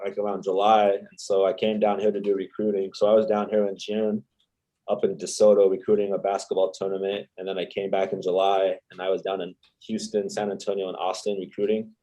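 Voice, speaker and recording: A man's voice: deep voice, little pitch movement, a little fast, dark tone, rough recording. A young man, a podcast guest, telling his story in a rambling, casual way with lots of fillers. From El Salvador. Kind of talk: casual chat.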